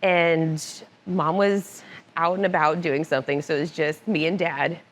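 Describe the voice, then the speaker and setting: Lower-voiced woman telling lively anecdotes. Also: a little slow. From born in Italy, raised moving around. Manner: laughs often, animated anecdotes. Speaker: podcast guest, motorcycle finance director. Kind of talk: casual storytelling interview. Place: cigar club lounge.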